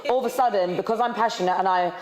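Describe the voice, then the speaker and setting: Woman, deep voice, fast passionate talker, echoey studio. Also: very noisy. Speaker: panel host. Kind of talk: casual panel discussion.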